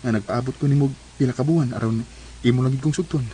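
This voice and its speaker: An actor plays an earnest young suitor in a Cebuano radio drama. His voice is dark and mellow, with a Cebuano Filipino accent and a devoted manner. Faint noise sits behind it. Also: medium pitch, fast, close to an everyday voice, poor audio. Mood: elated, loving. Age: young adult.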